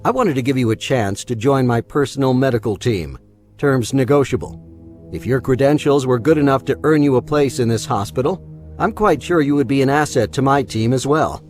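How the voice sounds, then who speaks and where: A man's voice: mid-range voice, a little fast, darkish tone, soft background music, lightly acted. Man acting a pompous, wealthy recruiter. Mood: smoothly persuasive. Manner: formal, pompous. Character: smooth, arrogant wealthy man recruiting doctors, self-important. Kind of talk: audio drama acting.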